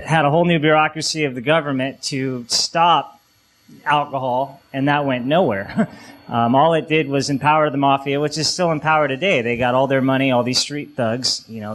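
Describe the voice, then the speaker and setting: A man giving his opinion in an informal panel discussion, explaining his points in a halting, explanatory way. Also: mid-range voice, fairly bright tone, poor audio. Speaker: panel speaker on a radio talk show.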